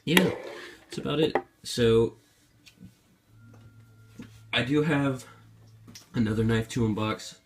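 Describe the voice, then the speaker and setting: A man's voice: medium pitch, takes it slowly, long pauses, slight background noise. A young man with a sore throat from being sick, casually unboxing a knife at a table and grumbling and swearing as he goes. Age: young adult. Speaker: vlogger. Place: indoor room at a table.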